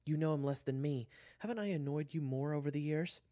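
A man's voice: on the high side, fairly quick, dark tone, voice pushed up, close to an everyday voice. A man voicing a sensible, exasperated schoolgirl who chides and protests in a narrated story. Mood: reasoning. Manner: chiding, protesting.

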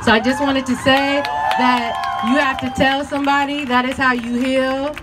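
A woman's voice: sits high, little pitch movement, moderate pace. A young woman giving an impassioned speech from an outdoor rally stage into a handheld mic. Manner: impassioned, leads call-and-response chants. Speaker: rally speaker. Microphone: handheld mic on stand, filmed from afar. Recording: distant mic, crowd and street noise.

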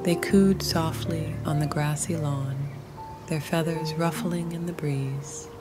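Woman doing soothing toddler bedtime narration, super slow n gentle, loud music and crickets behind her. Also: low-pitched, fairly even intonation. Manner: soothing, gentle, childlike simple phrasing with long pauses. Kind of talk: calming scripted bedtime story narration.

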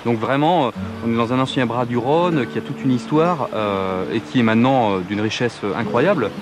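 A man's voice: mid-range voice, moderate pace. A man speaking spontaneously in an interview, recorded outdoors. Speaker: documentary interviewee. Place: outdoors in a marsh. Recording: noisy outdoor location sound.

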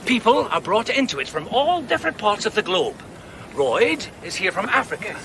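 An actor playing an intense amateur sleuth in a radio play, theatrical and deliberate, building suspense. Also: male voice, high voice, sing-song, a bit bright, exaggerated voice. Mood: wry, measured. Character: intense amateur sleuth laying out a murder case. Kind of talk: radio drama acting. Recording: noisy outdoor boat ambience.

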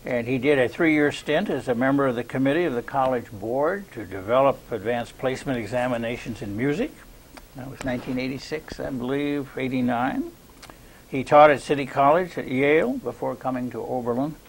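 An older man hosting a local TV interview in a courteous, halting manner. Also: mid-range voice. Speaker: interview host. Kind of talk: local TV interview, unhurried introduction and questions. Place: small cable TV studio. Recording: noisy 2001 VHS studio recording.

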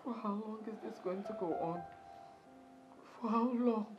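A woman's voice: mid-range voice, a little slow, muffled recording, music in the background, lightly acted. A woman acting a bedridden wife who is tired of suffering and begs to die. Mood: despairing. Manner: weary, pleading, quiet. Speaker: sick wife.

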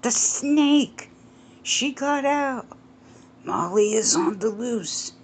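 A woman's voice: on the high side, expressive, extremely slow, a little hiss or hum, voice pushed up. A woman voicing a college-age young man who urgently pleads for help finding his lost pet.

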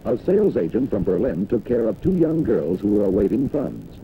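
Low, lively American man narrating, dated film sound. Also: brisk, creaky, narrow, tinny audio, slight background noise. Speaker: narrator of a corporate training film. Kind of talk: 1960s scripted industrial film narration.

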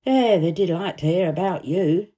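A woman playing a cheerful, warm-hearted young housemaid who gossips eagerly in broad Yorkshire dialect, in a storybook reading. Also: lowish voice, moderate pace, husky. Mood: delighted.

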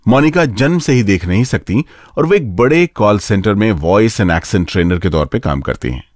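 A man's voice: lowish voice, lively intonation, normal speed, darkish tone, no room sound, clean studio sound. A man hosting a radio show, presenting scripted material in a playful, engaging way. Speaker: radio host.

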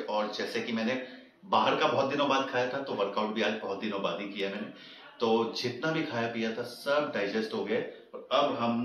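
A man in his thirties chatting casually to viewers in a food vlog, filmed on a phone at arm's length in a car and at home. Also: medium pitch. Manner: chatty, addresses viewers as guys, mixes English words. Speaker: vlogger. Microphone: phone camera at arm's length. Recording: noticeable background noise.

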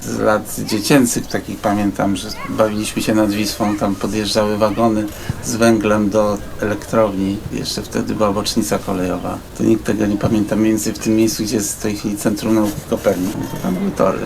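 A man's voice: fairly low pitch, level tone, brisk. An older man casually reminiscing in an interview recorded outdoors on a city street. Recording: street interview with clear traffic noise.

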